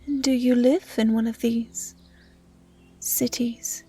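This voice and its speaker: Woman playing a slow, high, whispery curious dryad. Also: fairly even intonation, breathy edge. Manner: soft, often whispery, naive and measured. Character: curious, gentle female dryad, guardian of the woods. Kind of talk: roleplay audio drama, soft intimate acting.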